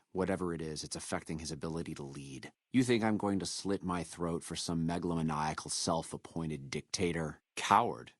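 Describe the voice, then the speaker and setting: Casting: proud captured legion officer for a game, very low voice, contemptuous and threatening, dry close sound. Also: male voice, no echo. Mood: bitter, proud. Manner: contemptuous, measured, menacing delivery. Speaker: video game voice actor. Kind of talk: video game character dialogue.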